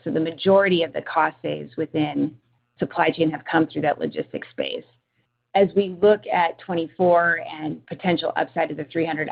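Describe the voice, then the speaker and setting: A woman's voice: sits low, vocal fry. A woman speaking as a finance executive on an earnings call, giving businesslike, structured answers in corporate language. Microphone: conference call line. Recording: tinny phone-like conference line.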